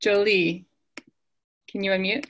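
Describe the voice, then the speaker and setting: Woman host on a video call, unhurried and bright, reads names and nudges people to unmute. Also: mid-range voice, fairly even intonation, slight background noise. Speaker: meeting host. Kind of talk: calling roll on a video call.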